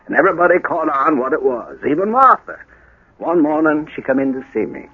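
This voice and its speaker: An American actor playing a folksy, worried small-town deputy sheriff who recounts a tale in a radio drama. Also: male voice, a little high, expressive, a little fast, husky. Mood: folksy, wry. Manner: folksy, colloquial storytelling. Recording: lo-fi old radio broadcast.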